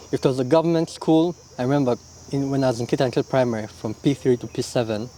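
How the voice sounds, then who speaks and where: A young man from Uganda, answering interview questions in a relaxed, reflective way, often tagging his lines with "yeah?" and "you know?". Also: mid-range voice, a little hiss or hum, low-quality recording. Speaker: interview guest, illustrator.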